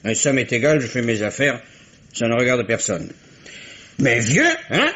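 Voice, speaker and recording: A sly old village rogue boasts and drops insinuations. The voice is husky and breathy. He talks like an old man who enjoys his own secrets. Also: mid-range voice, moderate pace, a bit bright. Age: older. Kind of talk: 1950s radio drama acting.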